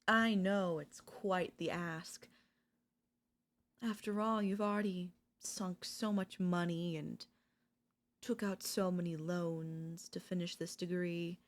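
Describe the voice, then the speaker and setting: Slow-talking, obsessive woman professor, acted by a woman. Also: on the low side, fairly even intonation. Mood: coaxing, earnest. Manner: slow, deliberate, coaxing, with dramatic pauses. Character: obsessive older female professor, sweet turning menacing. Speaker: voice actor. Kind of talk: ASMR audio roleplay acting.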